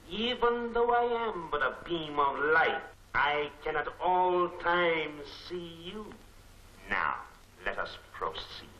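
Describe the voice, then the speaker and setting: An actor playing a cold, imperious unseen crime lord in a 1940s film serial, with a commanding, deliberate delivery. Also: male voice, fairly high pitch, pushed and bright. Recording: echoey, faintly noisy 1940s film soundtrack.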